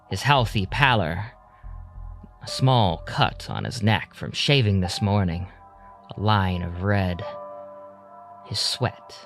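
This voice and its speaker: A man's voice: lowish voice, fairly bright tone. A man narrating an audio drama in a scripted, dramatic storytelling style. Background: music.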